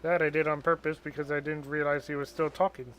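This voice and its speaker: A man's voice: on the high side, flat delivery, fast talker, a bit bright, a little hiss or hum. A man giving casual commentary while playing a video game, in hesitant, wry asides. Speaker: gaming streamer.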